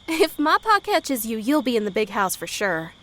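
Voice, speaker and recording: A woman acting a brave, polite but defiant high school girl in a period audio drama, with folksy speech. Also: sing-song, normal speed, pushed and bright. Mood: teasing, playful. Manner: folksy dialect grammar, plucky. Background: crickets and night insects.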